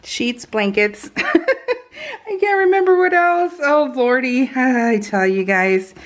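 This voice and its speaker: A woman's voice: sits high, very animated, slow. A woman chatting casually and giggly as a craft vlogger, rambling and interrupting herself while she works in real time.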